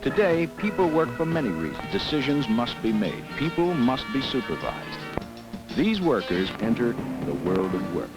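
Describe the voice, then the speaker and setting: A man narrates an educational film in a medium-pitched voice with a lively rise and fall. The delivery is scripted, on a vintage film soundtrack with music behind him. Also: normal speed, poor audio.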